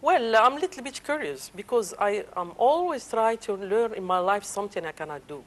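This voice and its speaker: Moroccan-accented woman, native Moroccan Arabic speaker, interview guest, halting non-native English with fillers, fairly high voice. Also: big pitch swings. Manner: hesitant, many fillers, broken English. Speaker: singer and guest.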